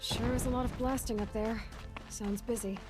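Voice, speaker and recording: A woman's voice: fairly high pitch, fairly even intonation, normal speed, over loud music, natural voice. An actress playing a wary, defiant young female adventurer in video game dialogue.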